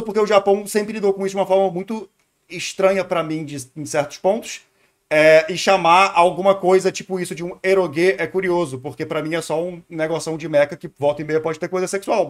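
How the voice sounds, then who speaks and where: A man's voice: high-pitched, fairly even intonation, quick pace. A man with a Brazilian accent giving casual, rambling reaction commentary as a streamer. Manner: rambling, musing.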